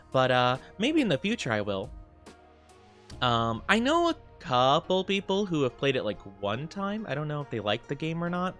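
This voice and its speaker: Young male streamer, sniffly and congested, soft rambling chat with lots of ums, mid-pitched voice, faint music behind. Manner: soft-spoken, rambling, many fillers. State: sniffly, stuffed up. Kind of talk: casual chat with live stream viewers.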